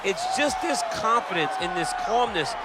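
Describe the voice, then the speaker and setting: A man's voice: high-pitched, big pitch swings, normal speed. A man giving color commentary on a fight, with emphatic, admiring analysis. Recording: loud arena crowd and noise behind.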